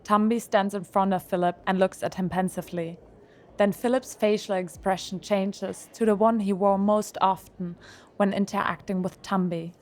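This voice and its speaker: A woman narrates an audio drama at a middle pitch. Her reading is calm and even. She keeps a steady scripted tone. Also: moderate pace.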